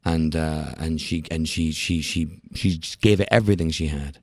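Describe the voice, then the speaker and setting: Deep-voiced Scottish-born actor, dry sound. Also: male voice, moderate pace, no room sound. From Scotland. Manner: expressive storyteller, wry jokes, frequent laughs. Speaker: actor, interview guest. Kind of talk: casual interview talk.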